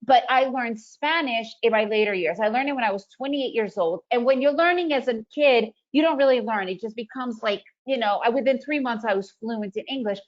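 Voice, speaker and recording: A fairly high-voiced woman gives an upbeat trading webinar, stressing her points and firing off rhetorical questions. Russian is her first language, though she grew up in the United States. The sound is dull and lo-fi. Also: a little fast, hardly any pauses. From former Soviet Union, raised in the United States. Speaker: trading presenter. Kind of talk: webinar presentation.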